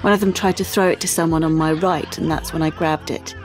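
An actress playing a sensitive teenage art student, confiding haltingly in an audio drama monologue. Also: female voice, low-pitched, fairly even intonation, music in the background, a crowd in the background, close to an everyday voice. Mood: shaken.

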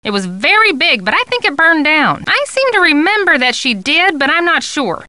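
An actress playing a chatty, wry American history expert in a video game. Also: female voice, high-pitched, very animated, normal speed, bright, forceful tone, no echo, heavily acted. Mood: chatty, helpful. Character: chatty, wry woman who knows local history. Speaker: game voice actor. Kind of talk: conversational video game dialogue.

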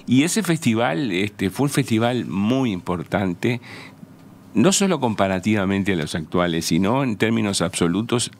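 An older man from Uruguay with a low-pitched voice and a Uruguayan accent. He speaks as an interview guest in a radio studio, close to the mic. His explanations are measured and conversational, with searching pauses. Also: lively intonation. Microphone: close studio microphone on a stand.

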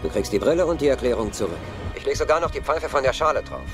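An actor playing a confident, coolly controlling villain in a dubbed film. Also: male voice, medium pitch, big pitch swings, fast, natural voice. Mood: cool, mocking. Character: smooth, confident male villain making demands. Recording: film soundtrack with music.

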